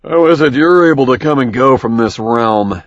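A man's voice: medium pitch, animated, normal speed, dry recording, close to an everyday voice. A male narrator voicing a wary, skeptical man who asks pointed questions. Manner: curious, questioning. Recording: dry, slightly dull audiobook recording.

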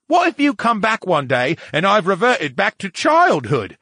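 Man doing a grumbling, high-strung talking brain, swooping pitch. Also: wildly swooping pitch, moderate pace, bright, forceful tone, no echo, studio quality, heavily acted. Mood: grumbling, aggrieved. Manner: indignant, theatrical complaining. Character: grumbling, needy, boisterous talking brain in a tank. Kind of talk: audiobook character dialogue.

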